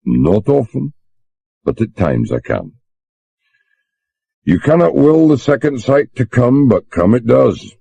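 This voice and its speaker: A man voicing a grave, steady older sailor with a Scottish accent, speaking slowly and with measured calm. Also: mid-range voice, vocal fry, slightly rough, no room sound, dull audio, voice pushed up, full character voice.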